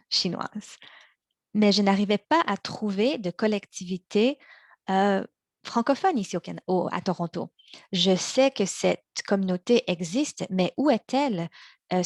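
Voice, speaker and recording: A young woman born in China and raised in Montreal, giving a prepared practice speech in a measured way, slowing on key words, with small restarts. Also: medium pitch, dry recording. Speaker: practice speaker for interpreters.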